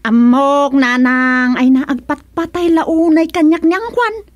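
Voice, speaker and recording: A woman's voice: sits high, fairly even intonation, unhurried. A woman playing a stubborn, dismissive gambling wife in an Ilocano radio serial drama.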